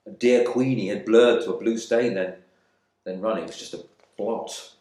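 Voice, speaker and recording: A man's voice: on the low side, fairly even intonation, normal speed, darkish tone, natural voice. A man performing a dramatic monologue as a proud, resentful airman in prison, in clipped, brooding phrases. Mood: ashamed, reflective. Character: embittered English RAF airman imprisoned in India, proud and resentful. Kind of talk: dramatic monologue reading from a novel. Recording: echoey room.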